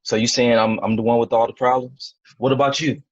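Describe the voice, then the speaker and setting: A man's voice: mid-range voice, level tone, brisk, dull audio, lightly acted. A man playing a defensive, frustrated young husband in a dramatic table read, arguing in a colloquial way. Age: young adult. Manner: argumentative, colloquial.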